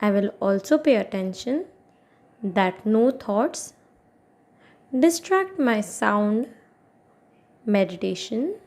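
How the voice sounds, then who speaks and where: A woman guiding a meditation as an instructor, giving soothing instructions in short phrases with long pauses between them. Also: mid-range voice, expressive, darkish tone. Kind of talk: guided meditation, yoga nidra narration.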